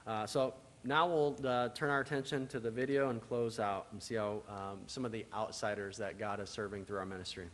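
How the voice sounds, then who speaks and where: A man speaking to a church congregation about his agency's work, pausing often with filler sounds. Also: lowish voice, fairly even intonation, fairly bright tone, slight background noise. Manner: hesitant, many filler uhs. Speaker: church relations speaker for a social services agency. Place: church sanctuary. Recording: distant mic in a reverberant church.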